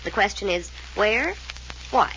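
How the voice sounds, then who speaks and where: A sardonic young woman of a wealthy family in an old-time radio mystery serial. Her pitch swings expressively as she drawls key words with cynical flippancy. The recording is old, with a light bed of noise. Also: expressive, unhurried, sharp tone, low-quality recording. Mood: mocking, probing.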